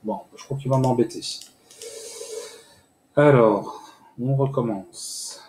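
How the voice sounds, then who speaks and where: A man walking viewers through a screen-recorded software tutorial step by step, pausing often with filler sounds. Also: mid-range voice. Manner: hesitant, many "euh" fillers, step-by-step explaining. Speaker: tutorial host. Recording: echoey room with faint noise.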